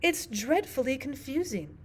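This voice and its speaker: An earnest little girl of seven from a storybook, performed by a woman. Her voice is high and lilting, politely puzzled, always questioning what she hears. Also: big pitch swings, a little slow, a little hiss or hum.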